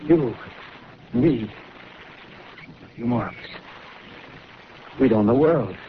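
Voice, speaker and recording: A man's voice: mid-range voice, lively intonation, slow. An actor playing a fanatical, scheming militia artilleryman who rambles in slangy working-man talk, in 1930s radio drama style. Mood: fervent, scheming. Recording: muffled, noisy 1930s radio recording.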